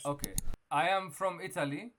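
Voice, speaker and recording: A man's voice: sits high, normal speed, fairly bright tone, slight background noise. A man hosting a random video chat, talking casually and playing confused and innocent. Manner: playful, feigning innocent confusion. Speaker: video chat prankster host. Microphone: webcam or phone mic on video chat.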